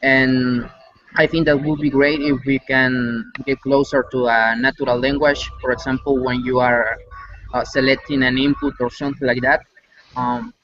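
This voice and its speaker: Over dull audio with faint noise, a man speaks in a flat, even tone. He gives casual feedback in a meeting. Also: mid-range voice, moderate pace. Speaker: meeting participant giving feedback.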